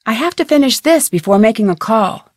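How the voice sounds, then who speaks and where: A woman with an American accent playing a plucky, curious teenage girl detective in a video game, thinking aloud in a clear, chipper way. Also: a little high, animated, a little fast, no room sound, clean studio sound. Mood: matter-of-fact. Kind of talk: scripted video game voice lines.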